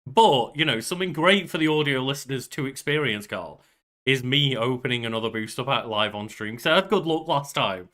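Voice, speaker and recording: A young man with a bright, forward voice. He talks casually and conversationally, like a streamer co-hosting a podcast. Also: medium pitch, animated, brisk. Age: young adult. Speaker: podcast co-host and game streamer.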